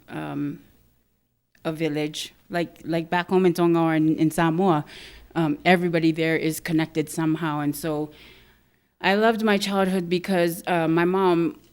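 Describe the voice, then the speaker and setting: A soft-spoken woman in her thirties from Hawaii, a guest on a podcast. Her voice sits on the low side and sounds smooth and resonant. She talks thoughtfully, at ease, with light laughs. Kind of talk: casual interview chat.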